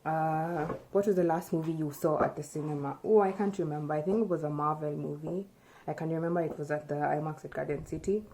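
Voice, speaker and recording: Young woman from Kenya, casual vlog chat, low and warm, thinks aloud, camera mic in a small room. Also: slight background noise, poor audio. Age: young adult. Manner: relaxed, chatty, thinks aloud with fillers. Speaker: vlogger. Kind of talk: casual chat, answering tag questions. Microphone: camera in front at close range.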